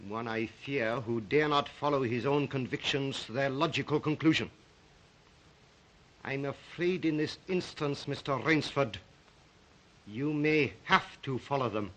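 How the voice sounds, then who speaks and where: An actor playing a suave, psychotic aristocratic hunter of men, courteous and menacing in an old 1930s melodrama style. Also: male voice, mid-range voice, a little slow, fairly bright tone, natural voice, rough recording. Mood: coldly menacing. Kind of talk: 1930s melodrama film acting.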